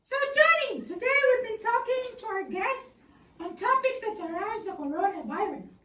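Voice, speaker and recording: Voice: very high-pitched, expressive, normal speed, pushed and bright, exaggerated voice. A performer with a childlike voice playing a courteous puppet who hosts a scripted talk show. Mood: upbeat, courteous. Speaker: co-host and interviewer. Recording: echoey room, far from mic.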